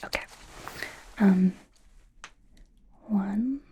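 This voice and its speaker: A woman's voice: medium pitch, a little hiss or hum. Woman acting a whispering, nervous young werewolf medic. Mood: careful, apologetic. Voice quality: soft, breathy whisper. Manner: hesitant, halting, nervous. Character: young female werewolf medical student, anxious, earnest, apologetic. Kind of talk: whispered ASMR roleplay.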